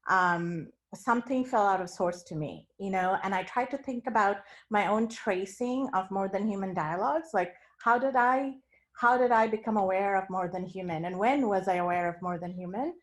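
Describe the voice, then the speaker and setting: A woman's voice: mid-range voice, normal speed. A woman from India giving an academic keynote talk over a video call, speaking in an earnest, reflective way. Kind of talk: academic talk sharing her screen.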